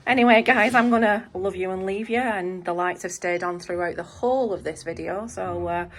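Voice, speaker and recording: A British woman hosting a casual chat, asking questions in a soft, quiet voice. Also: on the low side, moderate pace. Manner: soft-spoken, light questioning. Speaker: host.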